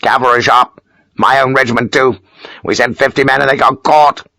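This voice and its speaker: A man voicing a gruff, pious older army major who talks in curt, self-important bursts. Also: mid-range voice, steady tone, moderate pace, pushed and bright, dry recording, clean studio sound, voice pushed up. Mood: grumbling. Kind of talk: audiobook character voice.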